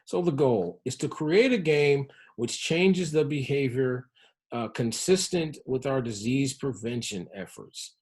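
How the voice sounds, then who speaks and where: A man with a mid-pitched, somewhat husky voice gives an educational presentation. He explains his material in a measured, instructional manner, as a public health professional addressing learners. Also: lively intonation, darkish tone. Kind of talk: educational presentation on health-focused game design.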